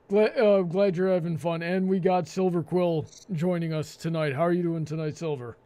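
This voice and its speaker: High-pitched man hosting, with halting delivery. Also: fairly even intonation, dark tone. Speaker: host. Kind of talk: hosting a live panel discussion.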